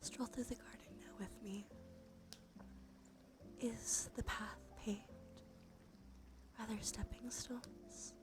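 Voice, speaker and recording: A woman giving an ASMR guided visualization in a slow, soothing, gently coaxing manner. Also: mid-range voice. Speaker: ASMR streamer. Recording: music behind the voice. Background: music.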